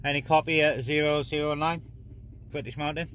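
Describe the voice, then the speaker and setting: Bright-voiced man chatting on CB radio. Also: on the high side, steady tone, a little fast, slight background noise. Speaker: amateur CB radio operator and vlogger. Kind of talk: casual radio chat. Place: inside a parked car.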